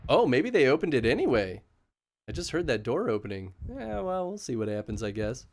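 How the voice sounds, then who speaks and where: A man streaming a horror game live, chatting casually and yelling excitedly at the action. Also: mid-range voice, brisk. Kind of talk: live gameplay commentary.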